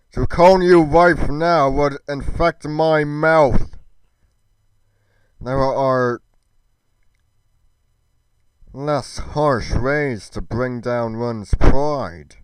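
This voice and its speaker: A man playing a righteous, stern god with a deliberate, archaic, declamatory delivery. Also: a little high, clear, resonant tone, no echo, voice pushed up. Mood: outraged. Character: stern, righteous god scolding his divine wife.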